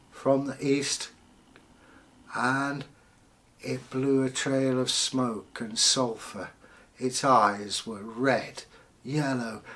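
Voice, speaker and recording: A man in the persona of a Viking storyteller, telling folktales in short phrases with theatrical pauses. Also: mid-range voice. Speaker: channel host and storyteller.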